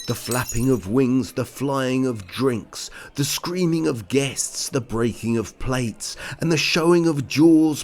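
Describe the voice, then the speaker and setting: Male storybook narrator, mid-pitched, very expressive intonation, unhurried theatrical delivery of children's rhymes. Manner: theatrical, deliberate. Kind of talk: rhyming children's story read-aloud.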